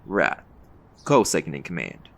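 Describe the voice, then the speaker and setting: A very low-voiced commander played by a man speaks with calm, folksy authority. He sounds firm and in charge, an audio drama leader of magical hunters, over a noticeable bed of background noise. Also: measured pace, vocal fry, heavily acted. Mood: firm, patient. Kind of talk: audio roleplay acting.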